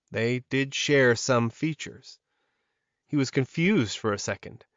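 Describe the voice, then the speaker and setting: A man's voice: mid-range voice, a bit bright, no room sound. A man reading scripted dramatic fiction as an audiobook narrator, recorded close in a dry space with a slightly dull sound.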